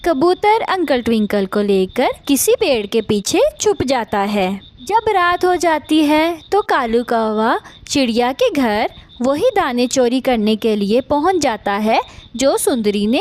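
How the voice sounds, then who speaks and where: A woman's voice: high-pitched, very animated, measured pace, a little mellow. A woman narrating a scripted children's story.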